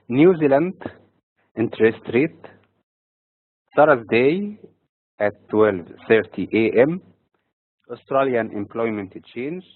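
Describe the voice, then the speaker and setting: Slow, measured man from Egypt. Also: mid-range voice, dry recording. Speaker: market analyst hosting a weekly update. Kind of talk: scripted market commentary. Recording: dry, phone-like narrow sound.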